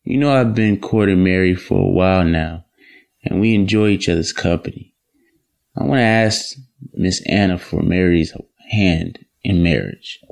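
An earnest young man in love, acted by a man for an audio drama. His voice sits very low with a gravelly creak. He speaks politely and respectfully, like a devoted son. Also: moderate pace, a little mellow. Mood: respectful, hopeful.

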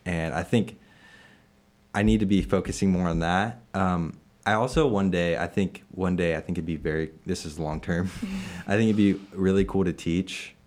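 Man, 20s, very low pitch, from Illinois; halting casual interviewee who laughs easily. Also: a little mellow. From Illinois, USA. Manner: halting, many fillers and restarts, laughs often. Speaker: interviewed staff member.

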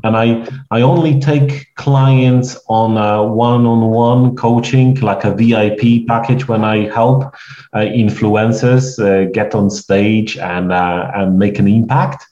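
A man with a Polish accent, a guest in an interview, telling stories in a halting way with many restarts. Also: on the low side, steady tone, dark tone. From Poland. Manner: rambling, halting storytelling with frequent restarts. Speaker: guest, networking coach. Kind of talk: conversational podcast interview. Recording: echoey, dull lo-fi sound.

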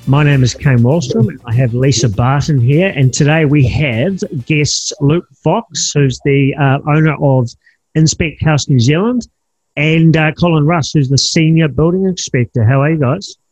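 A man hosting a podcast interview, asking questions in a friendly, halting way with many fillers. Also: on the high side, a little mellow, breathy edge, muffled recording, faint music behind. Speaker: podcast host.

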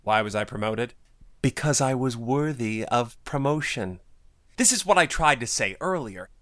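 A man playing a vain, cunning court official in an audio drama, self-assured and deliberate in his speech. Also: medium pitch, animated, a bit bright, dry recording, natural voice. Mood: smug, disdainful. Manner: smug, deliberate.

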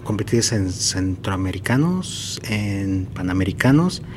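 A man's voice: low voice, lively intonation, measured pace, a little hiss or hum. A man hosting a podcast interview, speaking casually with many fillers and hesitations. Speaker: podcast host. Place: outdoors at a marathon event.

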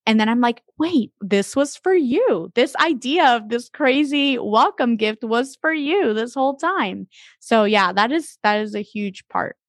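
A woman's voice: on the high side, expressive, measured pace, no room sound. Woman, 30s, from the Dominican Republic, chatty interview guest, dry close sound. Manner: warm and chatty, with many fillers. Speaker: podcast guest.

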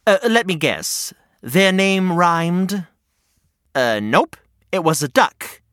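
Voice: mid-range voice, very animated, slow, fairly bright tone, clear, resonant tone, no room sound, studio quality. A storyteller doing an anxious little hedgehog. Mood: worried. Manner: nervous, worried and deflated. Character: anxious hedgehog who fears everything.

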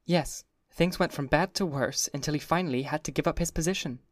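A man voicing a bubbly, wistful young society wife in a high, lilting, breathy voice. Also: brisk, no echo, studio quality, natural voice. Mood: confiding hush.

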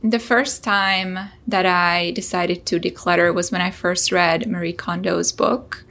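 A woman's voice: fairly low pitch, little pitch movement, unhurried, fairly bright tone. A woman from Hungary, a startup founder, telling an earnest personal story as a podcast guest.

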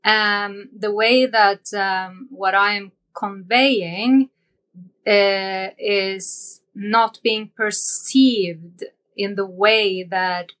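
Young Norwegian-accented woman guest, unhurried, hesitant, searching for words, mid pitch. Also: steady tone, fairly bright tone, rough recording. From Norway. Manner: hesitant, with many ums and uhs, searching for words. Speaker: podcast guest. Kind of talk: casual interview conversation.

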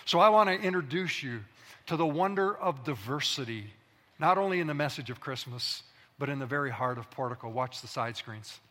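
A man's voice: medium pitch, animated, bright, forceful tone. Guy preaching in a church, leans hard on every word, big echoey hall. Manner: emphatic preaching, slows down to stress lists. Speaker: pastor. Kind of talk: sermon. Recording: long hall reverb.

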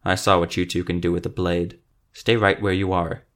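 A man acts out a threatening swordsman for a fantasy audiobook. He speaks low and terse, with a stern growl and a commanding manner. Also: steady tone, normal speed, a bit bright. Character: stern, threatening male monster-hunting swordsman.

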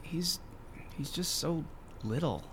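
An actor playing an earnest, tender-hearted advocate in an audio drama, talking in a chatty, expressive way. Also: male voice, a little high, slow, a little hiss or hum. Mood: sad, defensive. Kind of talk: naturalistic audio drama acting.

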